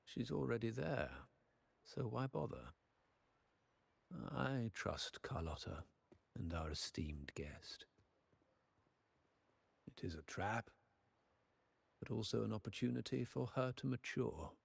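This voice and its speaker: An actor playing a calm, scheming older nobleman in a video game, speaking in a slow, measured way with a husky, gravelly voice. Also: mid-range voice, heavily acted. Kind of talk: game voice acting.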